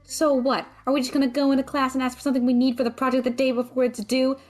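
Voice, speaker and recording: A woman playing a sharp-tongued, responsible female student mage in an audio drama, blunt and sardonic. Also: high-pitched, level tone, fast, soft background music, lightly acted. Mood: exasperated.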